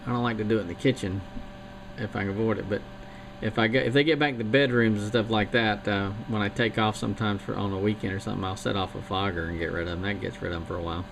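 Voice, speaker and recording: A man from Missouri in casual chat, speaking in a slow, folksy, plain-spoken way. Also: on the low side, creaky. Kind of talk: casual chat over radio link. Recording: radio link buried in loud noise.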